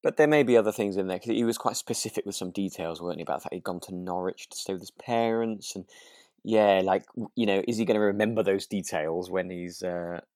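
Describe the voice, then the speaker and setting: A man's voice: deep voice, a little fast, darkish tone, no echo, clean studio sound. A man co-hosting a podcast in casual chat, thinking aloud and phrasing his opinions tentatively. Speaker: podcast co-host. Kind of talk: casual chat reviewing a radio drama.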